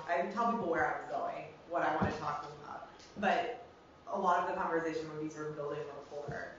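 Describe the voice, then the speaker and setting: Young American female student presenter, informal and halting, muffled by a dull recording with clear background hiss. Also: sits low. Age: young adult. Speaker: student researcher presenting fieldwork. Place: reverberant indoor room, recorded from afar. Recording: very echoey room, distant muffled mic, noisy.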